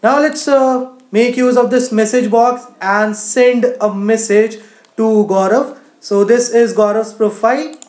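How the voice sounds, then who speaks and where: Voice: medium pitch, steady tone, unhurried, a little mellow, reverberant. A teacher narrating a screen-share tutorial in an explanatory way, often saying "you know". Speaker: coding teacher.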